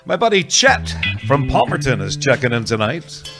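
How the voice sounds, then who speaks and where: A man hosting an oldies radio show, chatting casually and folksily between songs with frequent pauses. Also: medium pitch, big pitch swings, vocal fry. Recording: music playing under the voice.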